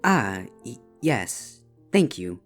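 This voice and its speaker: A woman voicing a reserved, awkward pony princess in disguise, with a melancholy air and halting delivery in scripted story dialogue. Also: extremely low pitch, very animated, heavily acted. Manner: hesitant, halting. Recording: music bed behind the voice.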